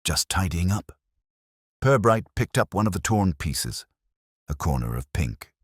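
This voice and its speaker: A man reading scripted detective-story narration in a husky voice. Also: deep voice, big pitch swings, a little slow, dry recording, studio quality.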